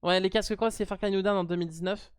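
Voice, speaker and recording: A French woman streamer chats casually with her partner, blunt and playfully teasing. Her voice is smooth and clear, in a dry close sound. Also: mid-range voice, steady tone, racing, dry recording. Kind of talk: casual live stream chat.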